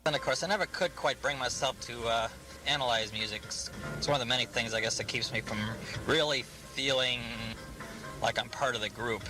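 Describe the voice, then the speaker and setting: A man giving casual, rambling commentary in a halting, self-deprecating way. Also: mid-range voice, over music, poor audio.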